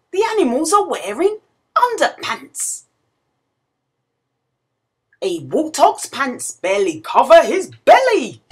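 A woman reading a picture book aloud to young children in an expressive, questioning storytelling manner. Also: high-pitched. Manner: expressive, exclamatory, asks the listener questions.

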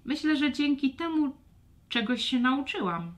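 A woman voicing an expressive young female dragon in a children's audio fairy tale. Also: high voice, a little slow, voice pushed up. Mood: earnest. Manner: expressive, exclaiming. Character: young female dragon, tearful then earnest peacemaker.

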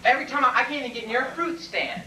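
A man's voice: very high-pitched, racing, bright, forceful tone, full character voice. A young man playing a nervous, evasive, blustering patient in an amateur comic stage play, stammering and protesting. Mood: indignant, fussy. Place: classroom stage before small audience, far camera. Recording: distant, echoey, noisy camcorder in classroom.

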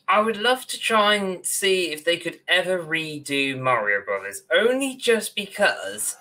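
A young man chats as co-host of a casual podcast on a video call. His voice is bright and very animated in pitch. He takes his time, rambling through his points at a slow pace. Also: low-quality recording. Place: small room at home, on a video call.

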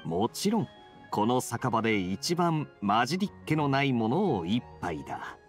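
A suave young knight from an anime game. He is smooth and wryly playful, with very lively pitch swings over background music. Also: unhurried. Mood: casual. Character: suave, teasing young male knight, relaxed. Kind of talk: Japanese anime game voice acting.

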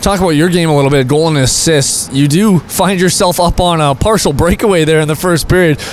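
A man's voice: a little high, a little fast, slight background noise. A young man hosting a postgame interview beside an indoor hockey rink, holding a handheld mic. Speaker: team broadcaster interviewer. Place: indoor hockey arena rinkside.

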